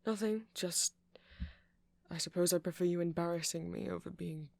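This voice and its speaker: A man's voice: high-pitched, fairly even intonation, normal speed, creaky, no room sound. A man playing a nerdy, awkward, affectionate vampire, rambling hesitantly in an ASMR roleplay. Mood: awkward, explanatory.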